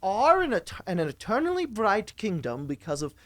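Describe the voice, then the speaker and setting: An actress playing a rambling, muddled fantasy guide in a semi-improvised comic audio drama, chatty and giggly. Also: female voice, mid-range voice, huge pitch swings, fairly quick. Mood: amused, explaining.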